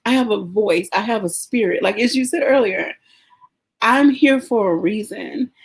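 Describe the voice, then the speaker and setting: Woman, podcast host, sincere, thoughtful, husky-breathy tone. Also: on the high side, moderate pace. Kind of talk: casual podcast conversation.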